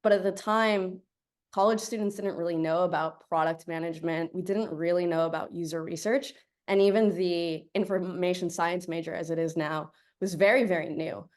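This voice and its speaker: A woman's voice: lowish voice, steady tone, moderate pace. A young woman from Colombia, a native Spanish speaker, giving a chatty, upbeat guest talk over a video call and laughing often.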